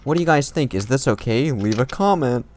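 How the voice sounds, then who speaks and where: A man's voice: medium pitch, lively intonation, a little fast, darkish tone. A man reading fan fiction aloud in a casual comedic way, amused, swearing and breaking off to comment. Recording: noisy home recording with clicks and typing.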